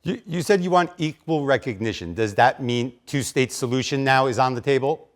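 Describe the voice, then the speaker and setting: A man's voice: medium pitch, normal speed. A man in his forties hosting a news interview, asking direct, pressing questions. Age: 40s. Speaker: news show host.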